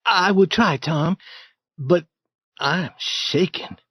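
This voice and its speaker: A man's voice: high-pitched, slow, fairly bright tone, breathy edge, voice pushed up, exaggerated voice. A man voicing a superstitious, timid teenage boy who sounds shaky and worried.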